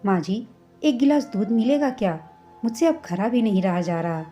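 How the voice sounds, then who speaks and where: A woman voicing a meek, long-suffering wife and mother in a told story, speaking gently and dutifully. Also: mid-range voice, animated, moderate pace, soft background music, close to an everyday voice. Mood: weak, pleading. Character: meek, long-suffering daughter-in-law, later a cautious widowed mother.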